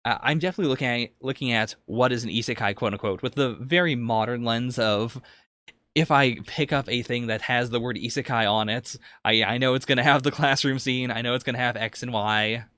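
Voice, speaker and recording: A man's voice: medium pitch, fairly quick, pushed and bright, no echo. A man co-hosting a podcast in a casual review discussion, with a hesitant, rambling way of phrasing things. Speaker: podcast co-host.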